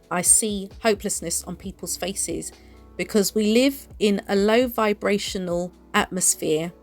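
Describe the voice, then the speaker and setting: A woman hosting an opinion commentary, speaking in an emphatic, indignant and deliberate way. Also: mid-range voice. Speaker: commentary channel host. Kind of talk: opinion commentary to camera or voice-over.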